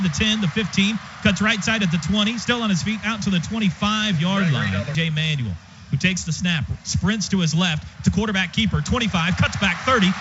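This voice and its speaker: High-pitched American man calling football on radio. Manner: clipped present-tense phrases, excitable on big plays. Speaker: play-by-play announcer. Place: stadium radio booth. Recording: dull, noisy stadium radio broadcast.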